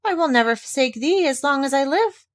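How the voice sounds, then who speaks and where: Woman voicing an earnest little foundling boy, raised pitch. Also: expressive, fairly quick, no room sound, studio quality. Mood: devoted.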